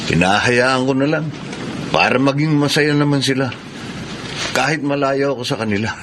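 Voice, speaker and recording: A man's voice: mid-range voice, normal speed, background noise, lightly acted. A man with a Filipino accent acting an easygoing, indulgent family-man seaman in a radio drama. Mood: resigned.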